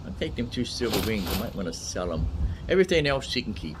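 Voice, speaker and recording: Male gaming streamer, lowish voice, folksy unhurried play-by-play, loud game ambience behind him. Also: big pitch swings. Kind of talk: casual gameplay commentary. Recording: loud background noise under commentary. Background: game ambience and dialogue.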